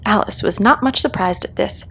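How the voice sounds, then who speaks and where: A woman narrating a children's story as a read-aloud host, in a calming, expressive storytelling style. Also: mid-range voice, normal speed, vocal fry, a little hiss or hum.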